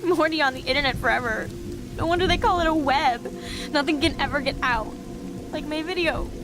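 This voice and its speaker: Woman acting a fragile, very high-voiced despairing schoolgirl. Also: brisk, natural voice. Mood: bitter despair. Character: despairing suicidal teenage schoolgirl, gentle and hurt. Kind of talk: dramatic video game acting. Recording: game music and rain behind.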